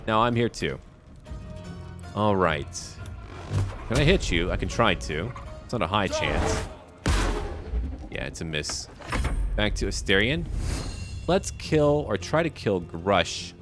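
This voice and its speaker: A man with a fairly low voice narrates his video game in a casual way. His pitch moves around a lot as he thinks aloud and talks to the characters, with game music clearly playing behind him. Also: very animated, slow, pauses often. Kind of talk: casual let's-play commentary.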